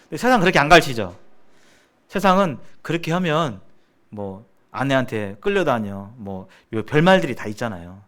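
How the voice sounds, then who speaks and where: A middle-aged man from South Korea teaching in a sermon-like seminar style, explaining haltingly and restating his phrases as he goes. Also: medium pitch, big pitch swings, fairly bright tone. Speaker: church seminar preacher.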